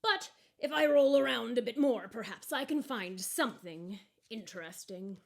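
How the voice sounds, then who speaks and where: A woman's voice: a little high, lively intonation, normal speed, gravelly. A woman voicing a hopeful, earnest little lump of coal in a storybook, wistful and full of exclamations. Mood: wistful, determined.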